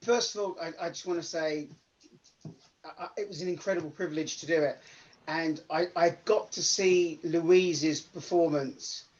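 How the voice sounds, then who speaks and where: A man's voice: a little high, fairly even intonation, normal speed, darkish tone. A man speaking as an actor in an unscripted discussion, emphatic, stammering through repeated restarts. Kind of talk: unscripted post-show discussion. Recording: dull, muffled lo-fi audio.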